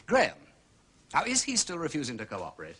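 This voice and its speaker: An actor playing a jaunty, quick-witted gentleman sleuth with an upper-class English accent and breezy, theatrical phrasing in a period detective drama. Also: fairly high pitch, huge pitch swings, husky, heavily acted. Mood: wry, musing.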